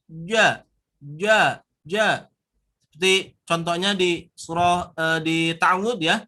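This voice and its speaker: A man with a Sundanese accent teaching Quran recitation, sounding out syllables slowly and firmly and repeating them. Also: sits high, pushed and bright. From West Java. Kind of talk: recitation lesson.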